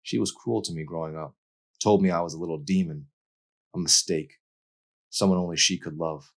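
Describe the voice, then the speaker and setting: A man's voice: low-pitched, steady tone, normal speed. A man voicing a guilt-ridden, earnest, defensive teenage boy in an audiobook. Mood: heavy, confessional.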